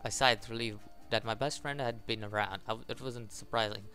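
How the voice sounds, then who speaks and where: A man hosting a gaming video, reading a visual novel aloud in a halting way, with casual commentary. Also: mid-range voice, steady tone, bright, forceful tone, soft background music. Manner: halting, stumbles over the text.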